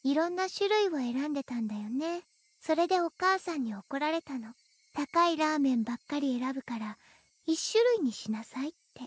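A woman's voice: high voice, lively intonation, racing, no echo. A woman playing a sweetly pleading, eerily insistent teenage girl in an anime visual novel, with a childlike, coaxing manner.

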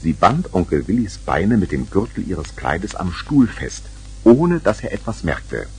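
A man's voice: low voice, big pitch swings, a little slow, darkish tone, faint music behind. A man narrating a scripted children's story.

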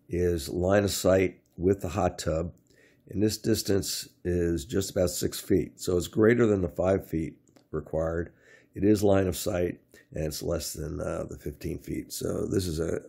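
An older American man narrates a home-improvement tutorial in a very low voice with a gravelly fry. He explains each step methodically and haltingly, at a slow pace with frequent pauses. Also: warm tone. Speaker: DIY home-improvement presenter. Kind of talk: instructional how-to narration.

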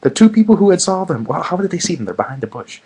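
A man's voice: sits high, big pitch swings, quick pace, mellow, breathy edge. A man doing a comedic reading of fan fiction in a mock-serious manner with sarcastic asides.

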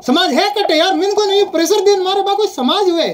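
High-pitched insistent Rajasthani phone caller. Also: brisk, noisy background. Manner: insistent, repetitive. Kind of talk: heated argument on a phone call. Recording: recorded phone call.

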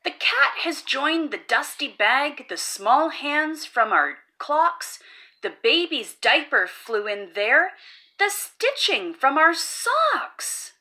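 A woman's voice: sits high, sing-song, slow pace, sharp tone. A woman hosting a children's read-aloud, reading a rhyming picture book in a playful, sing-song way. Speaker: storybook read-aloud host.

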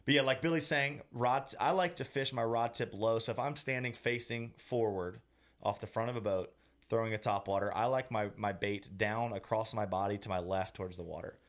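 Guy in his 30s, low voice, talking fishing on a podcast mic, kinda slow and thinking it through. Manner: thoughtful, explains step by step with many restarts. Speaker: co-host, fishing guide. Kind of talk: casual podcast chat. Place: small room indoors. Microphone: studio mic on boom arm.

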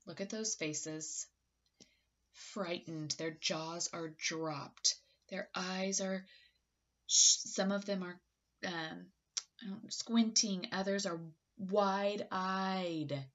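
A woman in her thirties reads a picture book aloud like a teacher, expressively, with dramatic pauses and questions to young listeners. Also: fairly low pitch, steady tone. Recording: slightly dull webcam audio.

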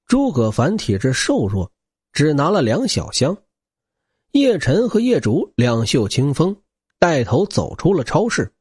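A man's voice: a little high, huge pitch swings, unhurried, darkish tone, husky, dry recording, clean studio sound. A man reading a novel aloud as a dramatic scripted narration. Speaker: audiobook narrator.

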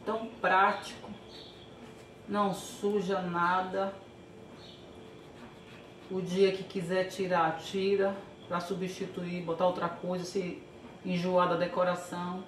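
A woman's voice: lowish voice, little pitch movement, takes it slowly, pauses often. An older woman from Brazil casually narrating a home how-to, chatty and thinking aloud while she works in her kitchen. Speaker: home vlogger. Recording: echoey kitchen, faint background hiss.

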